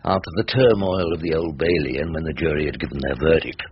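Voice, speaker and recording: An actor playing a sardonic older English barrister in a radio drama, with a dry, ironic, theatrical way of talking. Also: male voice, very deep voice, steady tone, quick pace, highs cut off, slight background noise. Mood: wry narration. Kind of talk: radio drama acting and narration.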